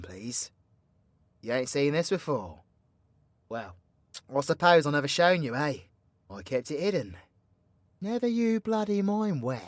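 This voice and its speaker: A man's voice: mid-range voice, a bit bright, no echo. A British man playing a cocky, foul-mouthed, vengeful supervillain magician in an audio roleplay, with slangy, theatrical, teasing banter. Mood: reflective, earnest. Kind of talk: ASMR-style audio roleplay, addressing an unheard companion.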